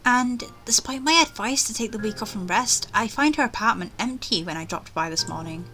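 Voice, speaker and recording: A woman's voice: on the high side, fairly quick, fairly bright tone. A woman gamer reading visual novel narration aloud, with casual commentary. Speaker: gaming video creator. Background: faint music.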